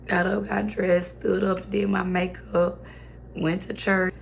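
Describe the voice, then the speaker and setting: A young woman vlogging casually with a hoarse, raspy voice, talking slowly and quietly with many pauses and fillers. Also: medium pitch, little pitch movement, slight background noise. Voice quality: raspy, worn-out voice. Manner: slow, subdued talk with many fillers. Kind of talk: casual vlog chat.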